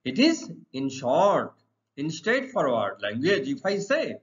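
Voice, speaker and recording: A middle-aged man with an Indian accent, teaching English to students in deliberate, emphatic, repetitive phrases. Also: on the high side, huge pitch swings. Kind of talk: exam lesson explained to students. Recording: dull, lo-fi sound.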